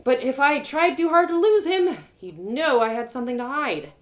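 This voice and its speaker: A woman reading her own audio drama aloud live, with an expressive delivery, often laughing at her own lines. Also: high voice, normal speed. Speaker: storyteller.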